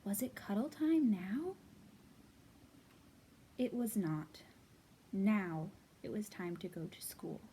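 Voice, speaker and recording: A woman hosting storytime for young children, reading and talking to them in simple, expressive phrases. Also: mid-range voice, slow, long pauses, slight background noise. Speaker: children's library storytime host. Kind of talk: storytime read-aloud and singalong for young children.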